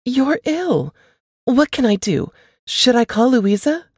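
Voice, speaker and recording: A woman voicing an anxious, warm and chatty elder sister in an audiobook, effusive and full of fussing concern. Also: on the high side, big pitch swings, takes it slowly, husky, dry recording, clean studio sound, pitched above the performer's own voice, exaggerated voice. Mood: worried. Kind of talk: audiobook character dialogue.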